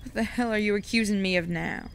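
A woman playing a gruff, stubborn young male deputy in a Western audio drama, curt and grumbling. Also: mid-range voice, fast, natural voice. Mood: irritated.

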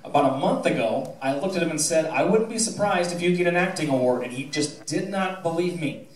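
A man's voice: on the high side, expressive, a little fast. A middle-aged American man giving an informal award speech to an audience, folksy and wry, in the manner of a school theatre director. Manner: folksy, wry, teasing delivery. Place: school theatre stage, indoors. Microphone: handheld mic on PA, captured by a distant camera. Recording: echoey auditorium recorded from far off, audience noise. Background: audience murmur and laughter.

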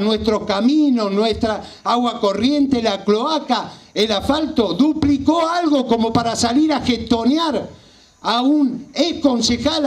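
A man in his fifties with a Rioplatense Argentine accent delivers an impassioned political speech, emphatic and repeating phrases for effect. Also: very high voice. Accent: Rioplatense Argentine Spanish. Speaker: local party politician. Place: small outdoor rally stage at night. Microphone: handheld microphone.

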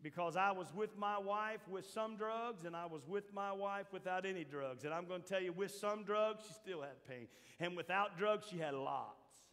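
An older man preaching a sermon as pastor to his church, shifting from quiet asides to shouted points. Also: high-pitched, normal speed, few pauses. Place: large church hall with a seated congregation. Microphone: handheld mic, roaming the stage. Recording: long hall reverb.

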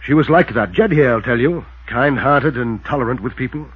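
An actor playing an earnest, defensive rural American farmer in a 1950s radio drama, plain-spoken and folksy with a husky voice. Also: male voice, medium pitch, a little fast, natural voice. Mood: earnest, sorrowful. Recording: thin, tinny old radio recording.

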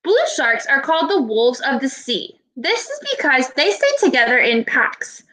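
A woman in her twenties, a teacher giving a read-aloud lesson to young children in a deliberate, instructive way. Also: sits high, big pitch swings, bright, forceful tone, rough recording. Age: 20s. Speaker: elementary school teacher. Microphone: laptop webcam at arm's length.

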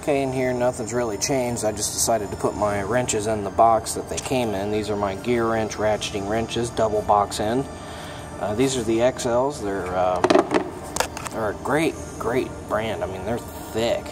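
A young mechanic casually showing his toolbox, talking in a relaxed, plainspoken way with plenty of ums and uhs. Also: on the low side, moderate pace, music in the background. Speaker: mechanic giving a toolbox tour. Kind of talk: casual show-and-tell vlog. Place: auto repair shop, indoors. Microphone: phone held in hand, filming first-person. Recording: shop noise with air conditioning hum.

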